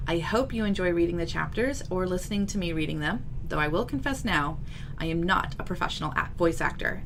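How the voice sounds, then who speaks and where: A middle-aged woman with a fairly low voice presents as an author speaking to camera. Her delivery is semi-scripted yet chatty, with wry, knowing asides. The recording is a home office setup with clear background noise. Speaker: author introducing own book. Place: small home office with bookshelves, indoors. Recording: clearly noisy room recording.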